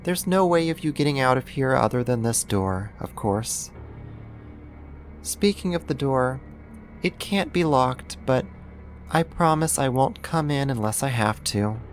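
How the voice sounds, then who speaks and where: A performer voicing a possessive yandere neighbor turned kidnapper in an intimate ASMR roleplay, coaxing and doting with a quiet menace and deliberate pauses. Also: mid-range voice, expressive, faint music behind, lightly acted. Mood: doting, controlling.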